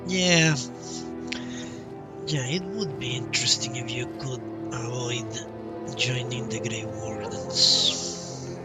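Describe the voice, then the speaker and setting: A male game streamer thinks aloud in live commentary. He speaks slowly at a medium pitch, sounding a little away from the mic, with game music behind him. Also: steady tone, recorded from a distance. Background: video game music.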